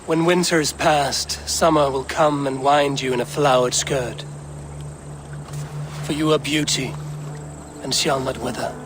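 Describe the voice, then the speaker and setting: An actor playing a bold, determined young Viking leader in a video game, resolute and formal in speech. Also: male voice, medium pitch, steady tone, measured pace, pauses often, music in the background, natural voice. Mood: solemn. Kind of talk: dramatic video game acting.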